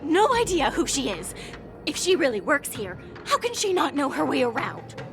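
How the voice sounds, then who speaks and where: A woman's voice: high-pitched, very animated, moderate pace. An actress voicing a suspicious young townswoman who asks pointed questions in a game cutscene. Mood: wary. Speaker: game character. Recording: game audio over loud music.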